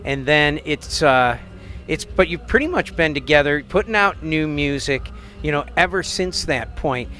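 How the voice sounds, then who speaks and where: A man's voice: fairly high pitch, lively intonation, moderate pace, bright, forceful tone, faint music behind. A man from Metro Detroit interviewing a musician, asking long, rambling questions full of fillers into a handheld mic in an indoor room. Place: indoor venue backstage room.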